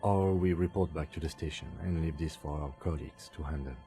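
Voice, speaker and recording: Low, measured, pragmatic police detective. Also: male voice, dark tone, faint music behind. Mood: matter-of-fact. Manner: measured, formal, matter-of-fact. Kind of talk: scripted video game dialogue.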